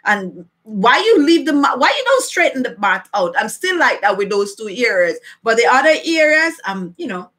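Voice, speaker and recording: A middle-aged Jamaican woman hosts a casual live chat from a room at home. Her voice sits a little high and sounds very bright and forceful. She is chatty and repeats phrases to drive a point home. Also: sing-song, moderate pace. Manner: chatty, emphatic repetition. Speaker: beauty vlogger hosting a live chat. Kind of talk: casual livestream chat to viewers. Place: small furnished room at home, indoors.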